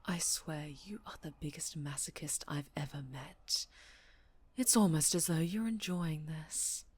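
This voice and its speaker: A woman's voice: low voice, husky. A woman playing an obsessive, domineering superhero captor in a dramatic ASMR roleplay, speaking with volatile, condescending menace. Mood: stern interrogation. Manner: slow, menacing, condescending, breaking into whispers and shouts.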